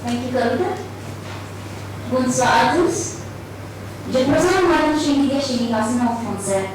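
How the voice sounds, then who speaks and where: A woman's voice: high-pitched, normal speed. A woman formally reading out a scripted introduction as a presenter at a live event. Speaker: French-language presenter. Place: echoey event venue. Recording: very echoey, distant, noisy room.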